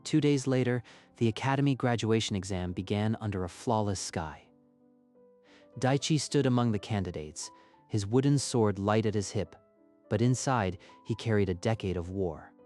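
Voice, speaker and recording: A man's voice: on the low side, moderate pace, darkish tone, faint music behind. A man narrating a scripted story in a dramatic style.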